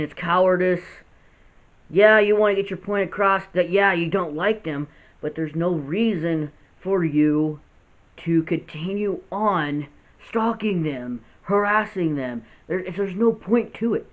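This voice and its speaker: A man in his late twenties with a gravelly voice, talking earnestly and unscripted in a vlog, often restarting his sentences. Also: fairly high pitch. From United States. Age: 20s. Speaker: vlogger. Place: house with poor lighting. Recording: tinny phone-like audio.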